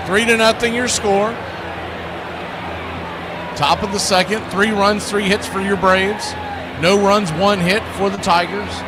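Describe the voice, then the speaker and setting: A man with a fairly high voice calls a live baseball game as a radio play-by-play announcer. He describes each pitch and play over very loud background noise. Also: fairly even intonation, a little slow, pauses often.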